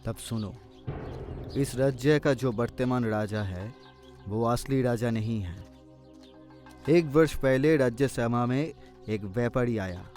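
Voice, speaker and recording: Man as a slow-spoken, solemn fugitive warrior. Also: medium pitch, a little mellow, soft background music, natural voice. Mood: grave, calm. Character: honourable fugitive warrior, wary but hospitable outlaw. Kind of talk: Hindi cartoon moral-story voice acting.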